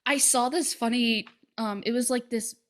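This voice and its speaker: A woman hosting a podcast, chatting casually in an excited, gossipy way. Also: a little high, normal speed. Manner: excited, gossipy, laughing through her stories. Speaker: podcast host.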